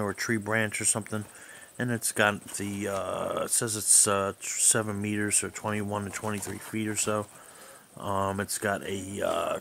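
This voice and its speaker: A man with a fairly low voice talks casually through a product as a hobbyist reviewer. He is unscripted and hesitant, wandering from point to point. A faint layer of noise sits behind the voice. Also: a bit bright. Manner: hesitant, rambling.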